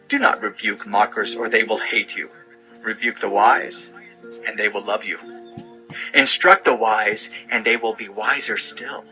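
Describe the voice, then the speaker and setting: Forceful, sharp-voiced male scripture narrator. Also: fairly low pitch, rough recording. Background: music bed.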